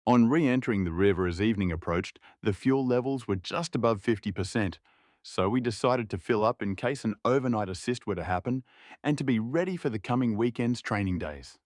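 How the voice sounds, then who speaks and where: A man's voice: lowish voice, a little fast, a little mellow. A man reading scripted narration as the narrator of a report.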